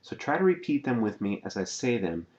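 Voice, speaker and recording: Deep-voiced man teaching language slides. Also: sing-song, darkish tone, highs cut off. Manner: patient, repeats words slowly and checks in with "okay?". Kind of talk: slide-based language lesson.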